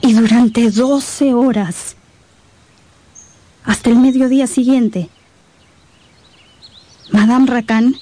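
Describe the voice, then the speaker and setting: A woman's voice: a little high, steady tone, slow pace, long pauses, mellow, no room sound. A woman narrator telling a radio novel in a solemn, suspenseful, dramatic scripted style. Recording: dramatic music behind the voice.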